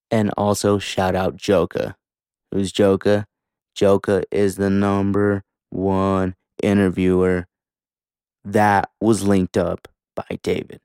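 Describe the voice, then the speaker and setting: A man's voice: lowish voice, darkish tone, clear, resonant tone, no room sound, clean studio sound. A man hosting a podcast in casual, hyped, slangy talk with some swearing, a native English speaker. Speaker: podcast host.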